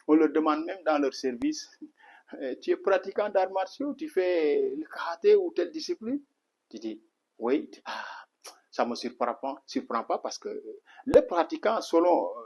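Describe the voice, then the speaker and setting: A middle-aged man from Senegal, a martial arts instructor, answering in an interview with an earnest manner, full of restarts and filler words. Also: a little high. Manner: earnest, repetitive, many restarts and "voilà" fillers.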